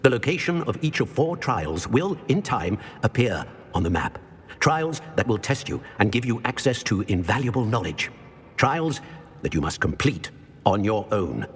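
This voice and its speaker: An actor playing an old, solemn wizard professor in a video game, with a husky voice and a measured, formal, grave manner. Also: male voice, lowish voice, very animated, heavily acted. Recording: long reverberant hall echo.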